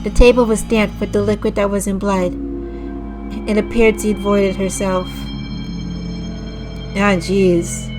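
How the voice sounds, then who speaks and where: Slow-reading woman, slightly raspy, music behind. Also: mid-range voice, flat delivery. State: slightly raspy, frequent throat clearing. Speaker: streamer reading a visual novel aloud. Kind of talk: slow dramatic read-aloud with casual asides to chat. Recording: music playing clearly behind the voice.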